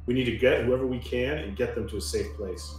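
A man's voice: mid-range voice, a little fast, soft background music, close to an everyday voice. A man voicing a stern, angry colony crewman who scolds and barks urgent orders in a tabletop game. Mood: urgent, scolding. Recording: very echoey room.

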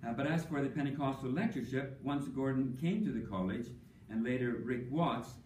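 An older man giving a prepared tribute speech at an academic conference, measured and dry-humored, with frequent ums. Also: medium pitch, a little mellow, a little hiss or hum. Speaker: panelist speaking at an academic tribute session. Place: conference hall. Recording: echoey hall, voice from a distance.

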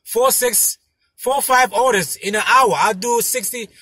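High-pitched, husky man vlogging casually. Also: a little slow, sharp tone, no room sound. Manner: emphatic, repetitive, punctuated with "you know". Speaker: delivery driver vlogger giving tips. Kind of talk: casual chat to camera. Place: inside a parked car. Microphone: phone mounted in front of him.

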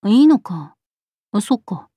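A woman voicing a laid-back, blunt tomboyish gunner in a game, with rough, boyish, offhand speech. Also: mid-range voice, sing-song, unhurried, dark tone, dry recording. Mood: offhand, breezy. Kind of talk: Japanese game character voice acting.

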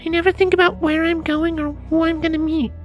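A woman's voice: very high-pitched, flat delivery, a little fast, faint music behind. A woman voicing a timid, weary young runaway filly pony in a dramatised audiobook, with a childlike, uncertain manner. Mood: uneasy, resigned. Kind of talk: dramatised audiobook character acting.

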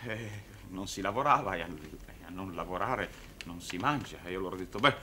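An actor playing a proud, downtrodden middle-aged circus clown who stammers and repeats himself theatrically. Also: male voice, deep voice, lively intonation, fairly quick, pushed and bright, full character voice. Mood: dejected. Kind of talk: 1960s Italian television drama acting. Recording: noisy old television soundtrack.

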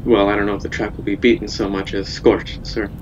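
A man's voice: lowish voice, level tone, fairly quick, highs cut off, background noise, lightly acted. A man playing a weary, dry pilot. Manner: dry, deferential. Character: weary put-upon male pilot, dry and polite.

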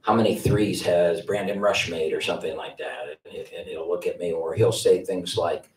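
A man's voice: deep voice, steady tone, moderate pace. An older man, a head basketball coach answering post-game press questions in a blunt, rambling way, often repeating words as he restarts. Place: press conference room with sponsor backdrop. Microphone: table mic, heard from a distance. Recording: echoey room, distant mic.

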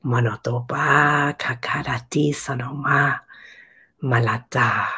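An older woman with a raspy voice, a spiritual guide leading a soft, meditative session with chanting, heard over a video call from a room at home. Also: low-pitched. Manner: slow, soft and meditative, with pauses.

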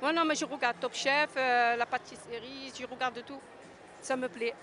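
A woman with a very high, bright voice chats freely about cooking shows. She answers a reporter's handheld mic as a passer-by in an indoor shopping mall. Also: fairly even intonation, normal speed, a little hiss or hum. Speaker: passer-by interviewee.

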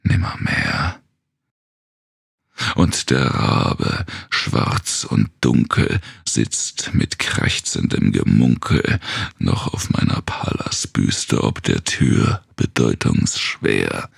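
Male narrator for Gothic verse: brooding, theatrical, heavy gravel in the throat, very close dry sound. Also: rumbling low, expressive, takes it slowly, no room sound. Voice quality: gravelly, husky, rasping. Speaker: narrator reading a horror poem aloud. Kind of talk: dramatic literary recitation, dark Gothic poetry.

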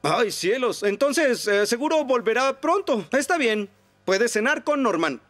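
A man's voice: extremely high pitch, very animated, measured pace, a bit bright, exaggerated voice. An actor with a Latin American Spanish accent playing a hapless, forgetful, apologetic father who speaks hesitantly in a children's cartoon dub. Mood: flustered. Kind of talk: animated children's series dub. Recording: music behind.

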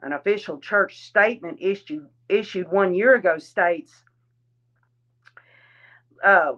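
A woman reading a religious address aloud in a deliberate, solemn manner. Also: mid-range voice, a bit bright, highs cut off. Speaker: reader.

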